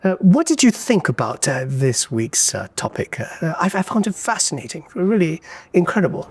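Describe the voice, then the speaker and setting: A man putting on a posh, measured Oxford male tutor. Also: a little high, very animated, slightly rough, pitched above the performer's own voice, heavily acted. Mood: plummy.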